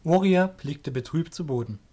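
A man's voice: a little high, expressive, moderate pace, warm tone. A man giving scripted narration for a fantasy audio drama.

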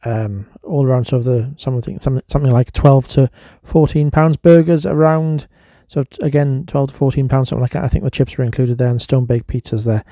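A man talks through a casual vlog review. His voice is mellow and relaxed, sitting at an ordinary middle pitch. He narrates informally, as a reviewer sharing his own opinions. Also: a little fast, few pauses, dry recording, clean studio sound. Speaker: vlogger, restaurant reviewer.

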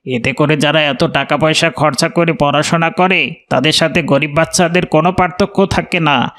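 A man's voice: fairly high pitch, a bit bright, natural voice. A man voicing an arrogant, snobbish rich young man in a cartoon, boastful and disdainful. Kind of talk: cartoon voice acting.

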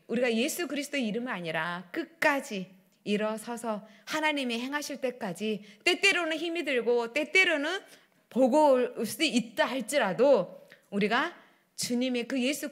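A middle-aged woman pastor preaching a sermon at a church pulpit, swinging between loud declarations and hushed asides. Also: on the high side. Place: church sanctuary, standing at pulpit. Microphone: pulpit microphones.

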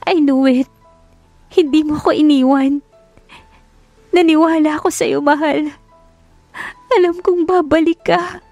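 A woman's voice: sits high, fairly even intonation, unhurried, pauses often, darkish tone, no room sound, soft background music, voice pushed up. Devoted young romance heroine, played by a woman narrator, brave, earnest, emphatic, dry close sound, audiobook drama. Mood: tearful relief. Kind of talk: dramatic audiobook character acting.

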